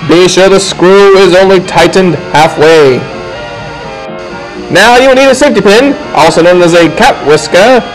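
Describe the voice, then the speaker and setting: High-voiced male instructional narrator over music. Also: poor audio. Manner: deliberate, folksy step-by-step delivery. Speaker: instructor narrating a how-to film.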